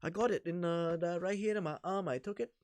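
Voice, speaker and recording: A man voicing a flustered man with a Chinese accent, halting and pleading for help. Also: high voice, darkish tone, no room sound, voice pushed up, lightly acted.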